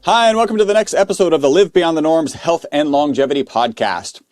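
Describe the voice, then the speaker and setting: A man's voice: fairly high pitch, fairly quick, darkish tone, no room sound, soft background music. Male podcast host, dry close sound. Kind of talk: podcast introduction and interview questions.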